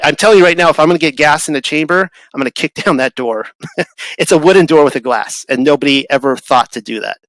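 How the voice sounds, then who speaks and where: Give me a man with a fairly high voice who rambles, repeats himself and restarts lines. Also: a bit bright, no room sound, low-quality recording. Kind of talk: casual conversational talk.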